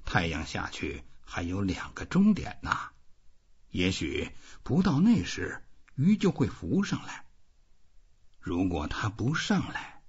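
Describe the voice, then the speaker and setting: A man voicing a weary, determined old fisherman who thinks aloud in slow, brooding storybook self-talk. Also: low-pitched, huge pitch swings, husky, lo-fi sound, exaggerated voice. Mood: quiet, musing.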